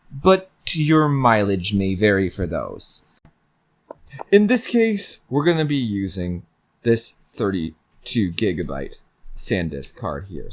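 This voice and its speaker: Low-pitched man giving tech demos. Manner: informal, talks through each step. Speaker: video reviewer. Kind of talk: hands-on tech demonstration.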